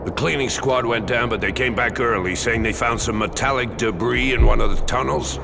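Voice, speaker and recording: An actor playing a coarse, bullying prison-camp guard in a video game, with sneering, profane delivery. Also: male voice, mid-range voice, moderate pace, gravelly, close to an everyday voice. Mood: dutiful, deferential. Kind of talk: video game cutscene acting. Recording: game audio with loud background noise.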